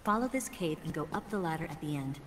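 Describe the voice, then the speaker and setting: Low-voiced, seductive female spy. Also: lively intonation, fast, fairly bright tone, a little hiss or hum. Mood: composed. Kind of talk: cinematic video game voice acting. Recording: reverberant hall, music underneath.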